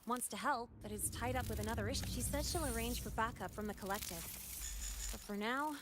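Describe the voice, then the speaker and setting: A woman playing a composed, efficient young female ally in a video game, reporting in a brisk, orderly way. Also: a little high, background noise, natural voice. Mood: businesslike. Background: loud game music.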